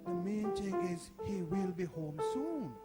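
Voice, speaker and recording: A man's voice: high voice, expressive, a little slow, loud music behind the voice. A man playing a patient, worried older great-grandfather in a family drama, hesitant and placating. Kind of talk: live radio soap acting.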